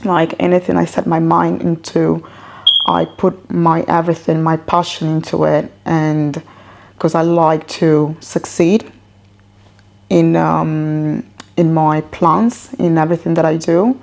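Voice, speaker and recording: Woman being interviewed, mixed slightly american accent, lowish voice w lots of creak, chatty. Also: level tone, a little slow, a little mellow, a little hiss or hum. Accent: multicultural mixed accent with some American. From born in Ghana, grew up in Italy. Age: 30s to 40s. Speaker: interview guest. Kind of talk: conversational interview answers. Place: her own home, indoors.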